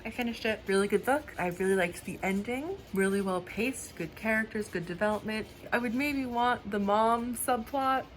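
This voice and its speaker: A woman's voice: on the high side, moderate pace, hardly any pauses, a little hiss or hum, rough recording. A woman chatting casually and enthusiastically in a bookish, rambling reading vlog. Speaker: book vlogger and author. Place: on a road trip, in car and hotel rooms.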